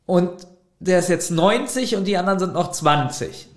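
A man's voice: high voice, normal speed, roomy sound. A man co-hosting a podcast, chatting casually and arguing animatedly while reviewing an audio drama. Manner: animated, argumentative, laughs often. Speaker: podcast co-host.

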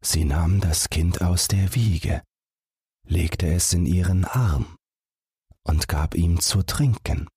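A man's voice: low-pitched, slow pace, warm tone, breathy edge, dry recording, studio quality. A man reading scripted narration as the host of a bedtime audiobook podcast.

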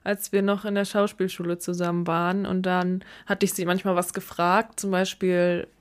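A woman's voice: on the low side, level tone, moderate pace, clean tone. A woman co-hosting a podcast in casual comedic chat, playful and dryly joking. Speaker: podcast co-host.

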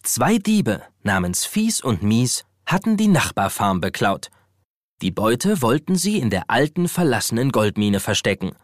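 A man's voice: mid-range voice, big pitch swings, unhurried, no echo, studio quality. A man narrating a scripted German children's adventure story.

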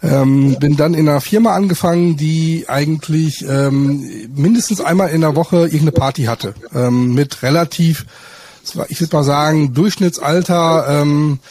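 A middle-aged man chatting casually in a halting, hesitant way, often adding short tag questions. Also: a little high, a little mellow. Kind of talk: casual chat.

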